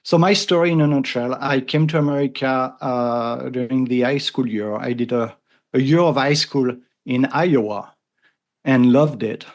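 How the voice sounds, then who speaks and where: French guy in his 50s, heavy accent, kinda gravelly, talks thoughtful and restarts a lot. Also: medium pitch, fairly even intonation, normal speed. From France. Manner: earnest, thoughtful, frequent restarts. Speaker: podcast guest. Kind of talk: casual conversation.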